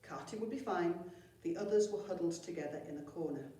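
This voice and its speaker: A woman's voice: fairly low pitch, brisk, mellow. A woman reading a children's story expressively, as a storyteller at a primary school. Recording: very echoey room, far from mic.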